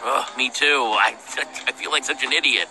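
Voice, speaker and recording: A man's voice: mid-range voice, normal speed, over music, natural voice. An actor playing an earnest young male hero in a video game, speaking casually but with urgency. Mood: determined.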